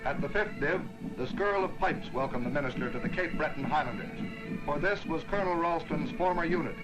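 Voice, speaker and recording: A man narrating a wartime newsreel in a brisk, stirring announcer's delivery. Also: on the high side, poor audio. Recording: old newsreel soundtrack with loud music.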